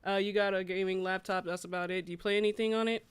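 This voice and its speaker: Smooth-voiced woman, casual livestream host. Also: mid-range voice, fairly even intonation, quick pace, dry recording. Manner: informal, conversational, chatting with viewers. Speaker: gaming news livestream host. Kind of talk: casual livestream chat, reading articles aloud and reacting.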